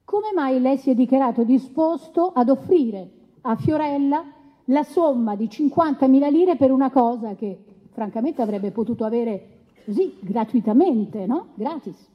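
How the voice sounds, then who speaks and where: Husky, high-pitched female lawyer, echoing hall. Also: expressive, lo-fi sound. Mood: pointed, ironic. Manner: deliberate, pointed phrasing. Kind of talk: courtroom radio drama, dramatised transcript.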